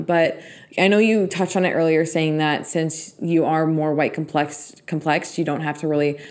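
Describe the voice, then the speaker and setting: A young woman hosting a podcast, with a voice that sits very low for a woman. She asks earnest, rambling questions, adding follow-ups one after another. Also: darkish tone. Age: young adult. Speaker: podcast host. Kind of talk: interview questions.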